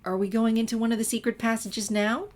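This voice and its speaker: A woman voicing an excitable, bookish teenage girl with an eager, gushing manner in a story reading. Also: fairly high pitch, a little fast, voice pushed up, lightly acted. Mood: curious. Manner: gasping, gushing, eager questions.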